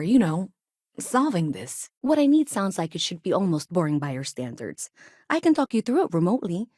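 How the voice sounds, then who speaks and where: Anxious, earnest engineer, lowish dry voice. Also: female voice, darkish tone, no room sound. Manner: conversational, hesitant, wry asides. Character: anxious, earnest, chatty woman engineer in sci-fi colony. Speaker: video game character. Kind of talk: naturalistic video game dialogue acting.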